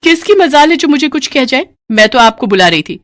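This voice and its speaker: Storybook villainess: woman acting a bossy young daughter-in-law, high-pitched, sharp-tongued, commanding and greedy. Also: very animated, brisk, dry recording, studio quality. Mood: scolding. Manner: haughty, scolding, commanding.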